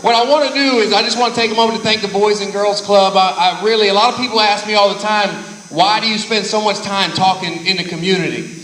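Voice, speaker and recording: A man, giving an energetic motivational speech to kids, repeating and stressing key words. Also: high-pitched, fast, hardly any pauses, far from the mic. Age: 30s. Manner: energetic, repetitive, preacherly emphasis. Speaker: guest speaker. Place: large banquet hall. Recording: echoey hall recorded from afar.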